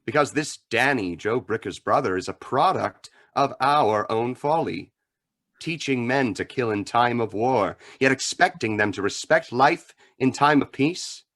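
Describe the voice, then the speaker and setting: A man playing a grave, philosophical crime fighter with a measured, earnest manner in a radio drama. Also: mid-range voice, lively intonation, fairly bright tone. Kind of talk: old-time radio drama acting.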